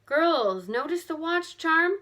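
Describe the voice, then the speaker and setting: A woman voicing an eager, quick-thinking teenage girl detective in a children's mystery storybook, with an urgent, exclaiming manner. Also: very high voice, lively intonation, sharp tone, pitched above the performer's own voice, full character voice. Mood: excited discovery.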